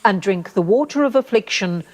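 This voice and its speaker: A woman's voice: mid-range voice, expressive, moderate pace, lightly acted, low-quality recording. A woman playing a solemn, authoritative church judge in a radio play, with grave and formal delivery. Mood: solemn judgment. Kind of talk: radio play acting, formal archaic speech. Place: actor's home, live remote recording.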